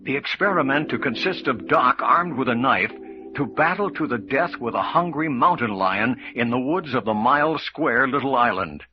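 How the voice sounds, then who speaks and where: A man narrating an old-time radio drama as announcer in a formal, dramatic style. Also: mid-range voice. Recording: muffled, noisy radio recording.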